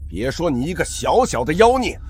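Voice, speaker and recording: An actor playing an arrogant elder sect ancestor villain, bombastic and theatrical, in a historical fantasy drama. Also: male voice, on the high side, very animated, brisk, slight background noise. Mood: gloating.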